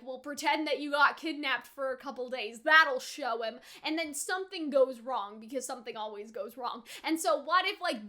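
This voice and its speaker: A woman's voice: sits high, pushed and bright. A woman host giving casual anime reaction commentary in a chatty, slangy way, acting out bits as she retells them.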